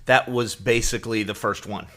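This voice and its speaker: A middle-aged man co-hosting a podcast in casual chat, explaining things in a halting way with repeated words. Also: fairly low pitch, steady tone, a bit bright. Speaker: podcast co-host.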